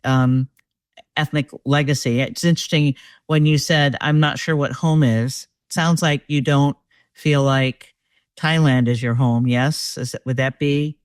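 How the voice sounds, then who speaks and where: Mid-pitched interview host, dry sound. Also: steady tone, clean tone, dry recording. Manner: guiding, reads captions aloud, pauses to check pronunciation. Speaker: host, executive coach. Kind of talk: interview questions.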